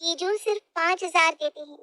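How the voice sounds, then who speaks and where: A woman's voice: very high-pitched, fairly quick, bright, forceful tone, dry recording, voice pushed up. A woman voicing an outspoken, protective young woman in a storybook tale who talks directly and indignantly. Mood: earnest.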